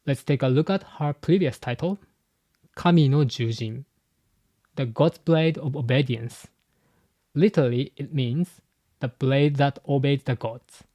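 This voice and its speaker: A man's voice: mid-range voice, warm tone, no room sound, muffled recording. Man explaining, dry sound. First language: Japanese. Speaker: YouTube host and translator. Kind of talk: scripted commentary.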